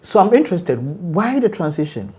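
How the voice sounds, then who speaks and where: A man's voice: high-pitched, sing-song, fairly quick, dark tone. Chatty male interview host. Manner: friendly, chatty, with short affirmations and restarts. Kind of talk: casual interview.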